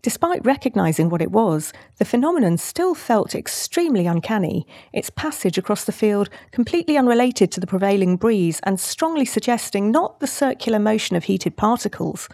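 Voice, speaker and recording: A British woman, a nature writer, reading a descriptive nature essay in a measured literary style. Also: mid-range voice, very animated, mellow, no room sound, clean studio sound. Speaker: nature writer and podcast host.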